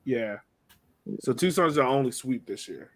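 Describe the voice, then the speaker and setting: A man's voice: mid-range voice, lively intonation, a little slow. A man hosting a casual sports podcast, chatting informally and speaking straight to his co-hosts. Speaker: podcast host.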